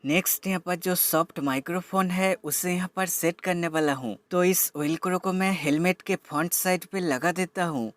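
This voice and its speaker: A man's voice: high-pitched, normal speed, few pauses, a bit bright, faint music behind. A man giving a scripted product demo voice-over as a reviewer, explaining plainly step by step and mixing in English terms.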